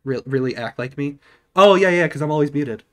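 A man's voice: on the high side, fairly quick, a bit bright. A young man streaming a game, sick, talking casually and joking with friends over a headset in a small room. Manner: joking, talkative. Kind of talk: casual gaming chat.

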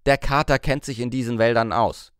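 A man's voice: medium pitch, steady tone, brisk, smooth, dry recording, close to an everyday voice. Man voicing a firm young businesswoman, dry sound. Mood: firm, brisk. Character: young, firm, commanding female career manager.

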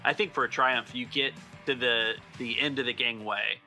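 A man's voice: mid-range voice, fairly even intonation, normal speed, bright, forceful tone, soft background music. A man running a tabletop roleplaying game as game master, narrating scenes in a descriptive, conversational way.

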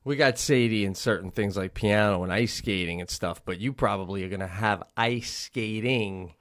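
A man's voice: on the low side, normal speed, a bit bright. Emphatic man in casual podcast chat. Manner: emphatic, addresses listener as bro. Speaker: podcast co-host.

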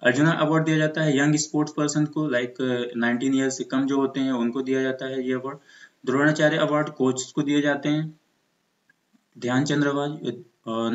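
An Indian man teaching exam preparation in an explanatory lecture style, mixing in English terms. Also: medium pitch. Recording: muffled, echoey room recording.

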